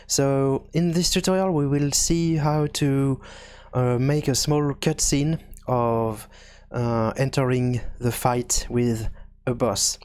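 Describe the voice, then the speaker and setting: A man presenting an unscripted software tutorial, explaining step by step with frequent pauses in mid-sentence. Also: medium pitch.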